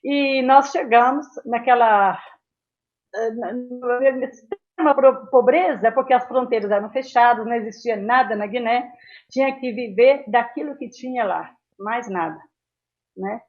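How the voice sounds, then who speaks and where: High-voiced elderly woman, muffled recording. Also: a little slow. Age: 70s. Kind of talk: reminiscing in a casual interview. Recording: dull, muffled lo-fi sound.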